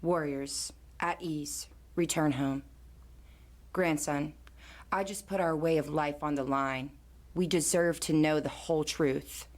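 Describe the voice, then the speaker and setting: A woman playing an older, dignified grandmother who leads her tribe with measured authority in an audio drama. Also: deep voice, steady tone. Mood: solemn, loving.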